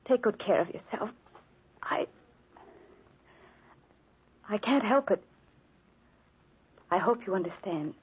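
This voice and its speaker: Sorrowful sweetheart, breathy husky voice, deliberate melodrama, 1940s radio, dull sound. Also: female voice, mid-range voice, animated, full character voice. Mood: sorrowful, resolute. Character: grieving, resolute sweetheart torn between love and distrust. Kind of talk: 1940s radio drama acting. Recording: muffled old 1940s radio recording.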